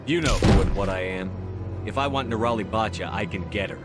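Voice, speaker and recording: Male actor as sci-fi game commander, low pitch, firm, resolute, fast-paced, music behind. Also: natural voice. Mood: firm, threatening. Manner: direct, firm, brisk. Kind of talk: video game character acting. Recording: background music under the dialogue.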